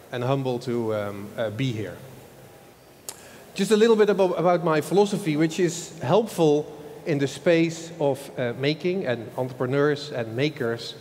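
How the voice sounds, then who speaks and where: A man's voice: medium pitch, a little mellow. A man with a Dutch accent, a marketing executive giving a loose, chatty conference talk, often tagging lines with "huh?". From Netherlands. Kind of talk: conference presentation. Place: large old event hall. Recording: long hall reverb, faint background noise.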